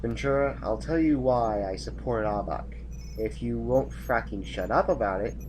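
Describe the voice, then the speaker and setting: An actor playing a weary, exasperated army general with a stern, formal manner in an audio drama. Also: male voice, mid-range voice, moderate pace, darkish tone, soft background music, lightly acted. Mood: strained, irritated.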